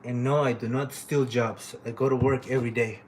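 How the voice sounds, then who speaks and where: A man's voice: mid-range voice, steady tone, brisk, darkish tone, a little hiss or hum, rough recording. A man from Honduras who speaks natively, chatting casually and rambling with plenty of swearing as he hosts a livestream. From Honduras, living in Canada since age twelve. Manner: informal, profane, rambling. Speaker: livestream host and painter.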